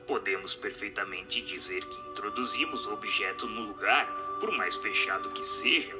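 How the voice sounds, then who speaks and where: A man voicing a solemn spirit in a radio drama, speaking in a measured, grave, didactic way. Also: on the low side, loud music behind the voice, lightly acted. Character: solemn spirit answering questions from the beyond.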